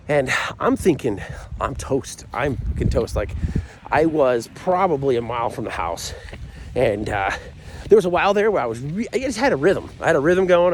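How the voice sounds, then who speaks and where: A man's voice: mid-range voice, background noise. A middle-aged American man telling a comic personal story in a casual, rambling, self-deprecating way with frequent asides.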